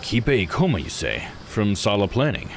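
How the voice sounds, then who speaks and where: A man's voice: lowish voice, very animated, normal speed, a little hiss or hum. A man voicing a curious, theatrical male adventurer in visual novel dialogue, musing and grandly polite. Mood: smug, composed. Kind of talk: visual novel character read-aloud.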